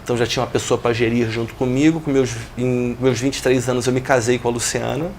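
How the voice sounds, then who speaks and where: A man in his forties, a guest retelling how he built his business, speaking in an even, reflective way. His voice has a gravelly, fry-tinged edge at a middle pitch. A faint noise sits under the recording. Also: quick pace. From Brazil. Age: 40s. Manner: reflective, steady storytelling with business jargon. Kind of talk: interview, telling his life story.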